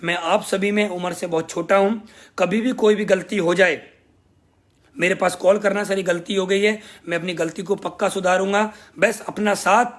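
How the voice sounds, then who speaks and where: A man's voice: sits high, fairly even intonation, moderate pace, a bit bright. A young man leading a sales team, giving an emphatic motivational speech and repeating his thanks again and again. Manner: emphatic, grateful, repeats phrases for effect. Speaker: network marketing team leader.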